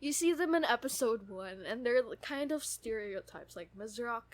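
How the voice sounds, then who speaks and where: A woman's voice: fairly high pitch, animated, moderate pace. A woman chatting casually and enthusiastically as a podcast co-host. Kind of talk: casual chat about an audio drama.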